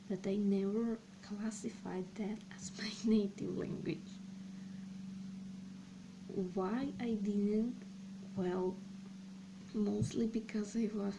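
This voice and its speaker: Slow, halting young woman vlogger. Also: medium pitch. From Serbia. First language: Slovak and Serbian. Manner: slow, halting, thinking aloud with many pauses. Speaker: language channel host. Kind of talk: casual personal vlog talk. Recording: clear background noise.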